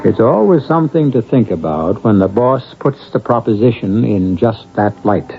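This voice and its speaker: A man hosting a radio mystery show with measured, wry, dramatic scripted narration. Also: fairly low pitch, animated, faint music behind. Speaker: host and narrator. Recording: narrow-band old radio broadcast.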